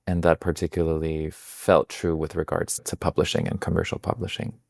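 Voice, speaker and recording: Deep-voiced man, thoughtful guest. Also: little pitch movement, fairly quick, vocal fry, darkish tone, dry recording, studio quality. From Denmark. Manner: thoughtful, reflective, with small restarts. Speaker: guest, magazine founder. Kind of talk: conversational interview answers.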